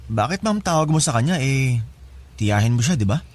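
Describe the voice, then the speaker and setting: An actor playing a casual, scheming in-law who offers help with strings attached, familiar and coaxing, in a Filipino radio drama. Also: male voice, mid-range voice, big pitch swings, moderate pace. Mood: curious, probing. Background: music bed.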